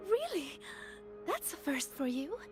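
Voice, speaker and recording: A woman voicing a sweet, excitable young female student in a video game, speaking softly and earnestly. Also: high voice, measured pace, music in the background, full character voice. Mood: light, friendly.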